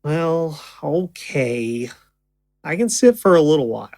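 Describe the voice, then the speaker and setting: A woman's voice: low-pitched, animated, a little slow, mellow, no echo. A woman playing a young stage magician, affectionate and easily flustered, in a naturalistic audio drama.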